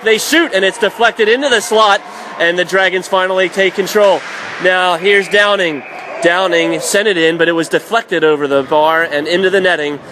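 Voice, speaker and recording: High-pitched man calling live hockey. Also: pushed and bright. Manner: vivid, clipped play-by-play phrasing. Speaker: radio play-by-play announcer for ice hockey. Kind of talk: live sports commentary. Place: indoor ice hockey arena. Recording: noisy live arena broadcast. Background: clear arena background noise.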